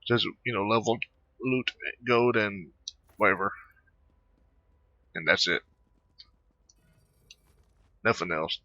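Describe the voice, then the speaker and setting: A man giving casual gameplay commentary in a rambling, hesitant, halting way. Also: on the low side, sharp tone, no echo, dull audio. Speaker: gamer narrating own gameplay.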